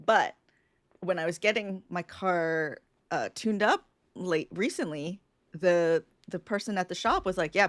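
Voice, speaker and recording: Lower-voiced woman brainstorming aloud. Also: no room sound. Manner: thinking aloud, many fillers, laughs easily. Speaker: podcast co-host. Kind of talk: casual live podcast brainstorm.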